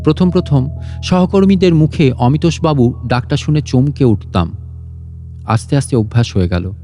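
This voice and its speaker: A man reading a literary story aloud in an expressive, measured, dramatic way. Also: mid-range voice. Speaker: audiobook narrator and story channel host. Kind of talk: dramatic first-person literary story narration. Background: soft plucked-string instrumental music.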